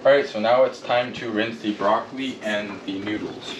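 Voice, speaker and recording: A man's voice: lowish voice, steady tone, normal speed, heavy background noise. A young man cooking in a home kitchen, plainly explaining each recipe step. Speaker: home cook. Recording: loud sizzling and boiling behind. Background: frying and boiling pots.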